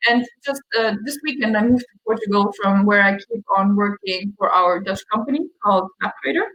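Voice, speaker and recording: A woman's voice: mid-range voice, a little fast, a bit bright, low-quality recording. A young woman from Austria with an Austrian accent, giving a friendly online presentation about her company's mapping tools. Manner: friendly, explanatory, with fillers. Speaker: customer success manager.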